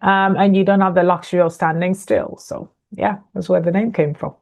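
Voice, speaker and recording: Low-voiced woman, chatty guest from Ghana. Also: fairly even intonation, brisk, darkish tone. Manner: chatty, laughs easily, many fillers and restarts. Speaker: guest, author and coach. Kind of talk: conversational podcast interview.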